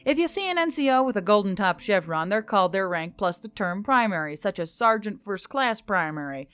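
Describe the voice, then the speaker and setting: A woman playing a female military officer giving a matter-of-fact, measured briefing in an audio story. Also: medium pitch, animated, fairly bright tone, clean tone, no room sound, lightly acted. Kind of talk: scripted audio story dialogue.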